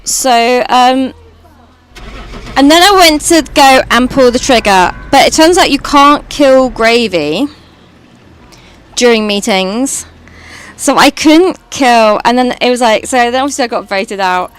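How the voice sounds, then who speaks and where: Chatty British woman with a high, clear voice. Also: a little slow. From Britain. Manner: chatty, rambling, swears casually. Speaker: streamer. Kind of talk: casual chat while gaming.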